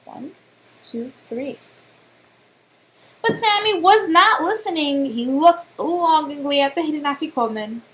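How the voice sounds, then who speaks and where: A woman reading a children's storybook aloud like a teacher, expressive and pausing to put questions to young listeners. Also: high-pitched, slight background noise, low-quality recording.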